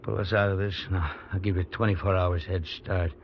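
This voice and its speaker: A worn-out, stubborn police sergeant in a vintage radio play. His voice sits very low with a heavy creak, and the phrases come haltingly. The recording is dull and hissy with age. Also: fairly even intonation. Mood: stubborn, resolute. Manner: halting, weary delivery with broken phrases. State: exhausted. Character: exhausted, dutiful, stubborn male police sergeant freezing in wilderness. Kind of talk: old-time radio drama acting.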